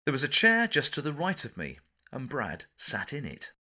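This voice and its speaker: Animated English man narrating an audiobook. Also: medium pitch, normal speed. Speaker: author reading own memoir. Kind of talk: audiobook narration, scripted anecdote.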